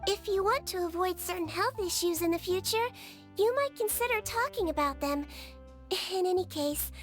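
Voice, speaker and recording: A tiny, sweet melusine nurse from a video game speaks in an extremely high, childlike voice. She is earnest and polite, soothing and caring in how she talks. Soft music plays behind her. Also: moderate pace. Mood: earnest, gentle. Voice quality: childlike, girlish. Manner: gentle, earnest, polite, reassuring. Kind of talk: video game character voice lines. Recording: studio voice over game music.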